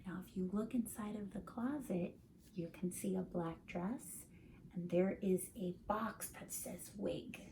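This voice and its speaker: A woman reads a children's story aloud in a teacherly way. Her pace is slow and her pitch is average, with expressive emphasis and asides that question the young listeners. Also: slight background noise, low-quality recording.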